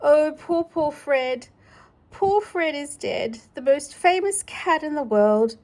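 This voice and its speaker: A woman's voice: sits high, expressive, takes it slowly, a little mellow, voice pushed up. A woman voicing solemn cat mourners from a storybook, speaking in a theatrical, declamatory way. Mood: grieving.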